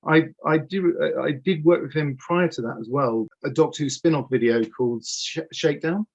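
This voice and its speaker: A man's voice: on the high side, moderate pace. A man in his fifties talking casually and enthusiastically as an interview guest, telling anecdotes over a video call from a home office. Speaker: audio drama producer, interview guest. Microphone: computer mic on video call. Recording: dull, lo-fi video call audio.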